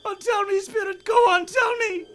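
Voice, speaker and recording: An actor playing an old male miser in an old-time radio drama, theatrical and emphatic, with dramatic pauses. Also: very high-pitched, fairly even intonation, a bit bright, soft background music. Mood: desperate pleading.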